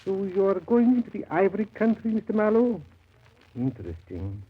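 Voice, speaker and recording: An actor playing a fussy, callous, bookish company accountant in a 1930s radio drama, with hesitant, precise speech. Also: male voice, high voice, wildly swooping pitch, normal speed, breathy edge, heavily acted. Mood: prim, insinuating. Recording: muffled, tinny 1930s radio broadcast.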